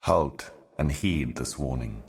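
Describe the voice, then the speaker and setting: Extremely deep, slow, ominous ancient herald. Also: male voice, expressive, vocal fry, heavily acted. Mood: grave warning. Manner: solemn, cryptic, deliberate, portentous. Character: ominous ancient otherworldly herald serving cosmic powers. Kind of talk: scripted video game voice acting, slow monologue.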